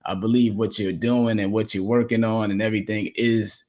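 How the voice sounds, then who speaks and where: A man's voice: lowish voice, flat delivery, moderate pace, a little mellow. A man hosting a casual podcast interview, affirming his guest and speaking with frequent fillers. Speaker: podcast host.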